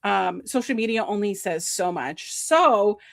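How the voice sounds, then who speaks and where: A woman with a New Jersey accent hosting a casual podcast over a video call, enthusiastic and quick to laugh. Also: mid-range voice, expressive, gravelly. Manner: enthusiastic, laughing, slow and drawn-out. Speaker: podcast host. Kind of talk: casual chat.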